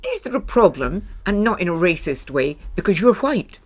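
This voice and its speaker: A woman's voice: lowish voice, sing-song, normal speed. An older woman, hosting a chatty podcast interview in a blunt, wry manner. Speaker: podcast host. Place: small stage in a bar venue.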